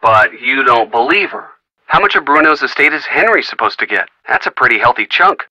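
Wisecracking young buddy. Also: male voice, mid-range voice, animated, fairly quick, sharp tone, no room sound, poor audio. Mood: wry. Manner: teasing, wry, easygoing. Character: young male friend, wisecracking and supportive. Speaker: phone friend of the player character. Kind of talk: video game voice acting.

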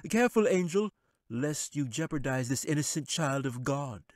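A man's voice: lively intonation, moderate pace, dry recording, studio quality, natural voice. A man voicing a scheming male wizard villain in a storybook reading, sly and mocking. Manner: taunting, sly, rising high.

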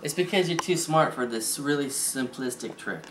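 A man's voice: on the high side, normal speed. A man in his thirties chatting casually and teasingly during a card game at a dining table, picked up by a camera across the table. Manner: playful, teasing. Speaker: card trick player at a family game. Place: small dining room at home, indoors. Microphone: handheld camera across the table. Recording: noisy home camera recording, handling clicks.

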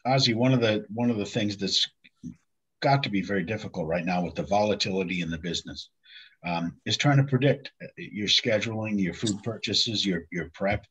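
A man in his fifties moderating a panel, putting questions in a measured, halting way. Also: fairly low pitch, fairly bright tone, breathy edge. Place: home office with bookshelves. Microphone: computer mic on video call. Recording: dull video-call audio.

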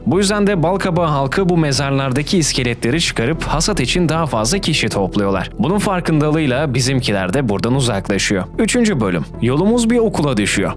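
A man's voice: mid-range voice, background noise. A man hosting a scripted video essay, with casual asides to the viewers. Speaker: video host. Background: background music.

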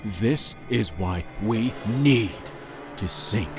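Man acting deep, grim gangster. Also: expressive, extremely slow, over music, exaggerated voice. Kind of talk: audio drama acting.

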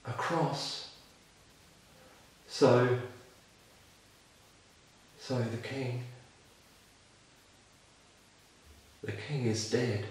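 A man voicing an eager, excitable young donkey in a children's story, full of wide-eyed enthusiasm. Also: medium pitch, very slow, long pauses, a little hiss or hum. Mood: stunned. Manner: exclaiming, breathless enthusiasm. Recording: roomy, echoing, distant microphone.

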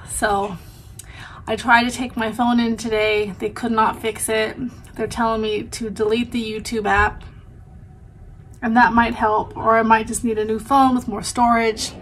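Woman, 30s, head cold and stuffy nose, rambling home vlog chat, fairly high voice. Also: little pitch movement, a little slow, long pauses, a little hiss or hum. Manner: chatty, rambling, with frequent "um" and "like". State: stuffy and congested with a sore throat. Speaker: vlogger. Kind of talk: casual chat. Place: small home bedroom, indoors. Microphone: camera in front at close range.

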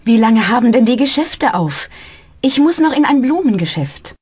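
A woman plays a polite young student asking simple questions in a language-course dialogue. Her voice sits fairly high with lively intonation and a touch of breathiness. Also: moderate pace, breathy edge. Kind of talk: slow scripted learner dialogue.

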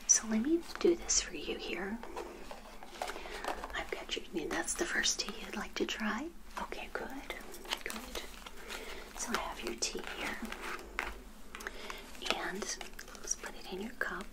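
An older woman whispering a gentle, hospitable ASMR roleplay as a friendly witch, softly repeating her phrases. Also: mid-range voice, rough recording.

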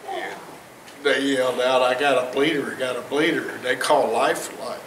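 A man's voice: medium pitch, fairly even intonation, moderate pace, fairly bright tone. A man in his fifties telling a personal testimony to a church congregation, rambling and chuckling at his own jokes. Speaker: church member giving testimony. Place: church sanctuary stage, indoors, large. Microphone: far camera, no mic seen. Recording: roomy echo from far off, noisy.

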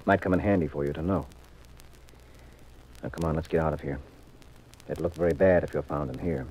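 An actor playing a cool, wry detective with a dry, commanding manner in a 1950s radio mystery. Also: male voice, very deep voice, steady tone, fairly quick, a little mellow, breathy edge. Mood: brisk, guarded. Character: cool, wry, assured male detective, married sleuth. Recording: noisy 1950s radio recording.

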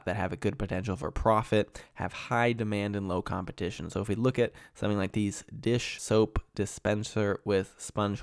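A man's voice: on the low side, clear, resonant tone, no echo, clean studio sound. A man in his thirties with a nasal voice from a cold, walking through a screen-share tutorial as an online selling coach. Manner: steady, explanatory walkthrough. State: getting over a cold. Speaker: e-commerce coach.

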